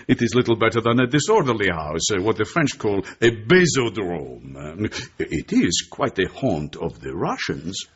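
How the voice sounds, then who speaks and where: An actor playing a genial, shrewd Turkish intelligence chief in a radio drama, with a Turkish accent, a husky voice and an expansive manner. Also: male voice, fairly low pitch, very animated, measured pace, dull audio, heavily acted. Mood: grumbling, amused. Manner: expansive, tags sentences with a questioning "eh".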